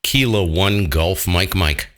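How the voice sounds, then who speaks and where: An American man with a very deep voice and a bright, forceful edge. He talks like a ham radio operator in casual conversation, blunt, jokey and full of colloquial turns. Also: takes it slowly. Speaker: amateur radio operator, channel host.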